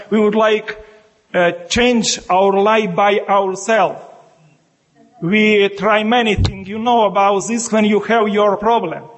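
A man from Ukraine speaking in halting, broken English with a Ukrainian accent, a bishop and monk addressing a meeting as guest speaker. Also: high voice. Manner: searching for words in broken English.

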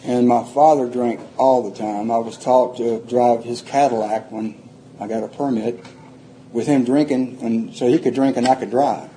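A man's voice: mid-range voice, steady tone, moderate pace, mellow, slight background noise, poor audio. An older man, telling his life story plainly to a group, rambling and restarting often. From Atlanta, Georgia, USA. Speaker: recovery speaker.

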